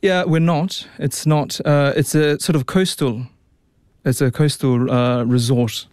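A man's voice: fairly high pitch, steady tone, unhurried, darkish tone, clean tone, dry recording, clean studio sound. A young man with a New Zealand accent, an opera tenor chatting as a radio guest, with lots of restarts and fillers. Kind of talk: relaxed radio interview.

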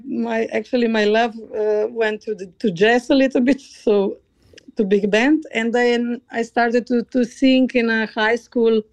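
A woman from Slovenia with a Slovenian accent, a choral conductor answering interview questions in a halting way, often searching for words. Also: on the high side, a little mellow, no room sound. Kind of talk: interview conversation.

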